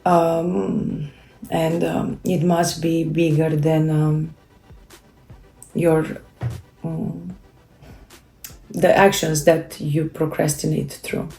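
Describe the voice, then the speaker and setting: A woman whose first language is Romanian, giving a casual motivational talk in a halting way, with many fillers and restarts. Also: sits low, flat delivery, gravelly, mellow, roomy sound, soft background music, rough recording. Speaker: self-help creator.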